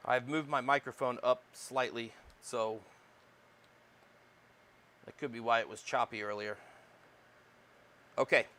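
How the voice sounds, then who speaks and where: A man teaching an electronics lecture on a lapel mic, talking loudly and projecting his voice, with lots of fillers and frequent tag questions. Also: mid-range voice, steady tone, a little slow, pauses often. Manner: loud projecting voice, says he yells. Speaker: college electronics instructor. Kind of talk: recorded lecture.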